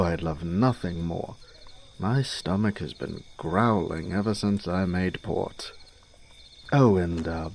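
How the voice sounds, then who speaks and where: A man's voice: low-pitched, creaky, darkish tone, a little hiss or hum, full character voice. A man playing a suave young nobleman in a fantasy audio drama, airy, genteel and teasing.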